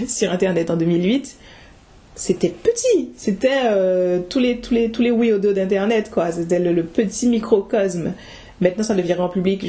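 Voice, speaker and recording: A woman's voice: mid-range voice, mellow. A young woman vlogging casually about online business, thinking aloud with restarts and rhetorical questions. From France. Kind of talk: casual talking-head vlog.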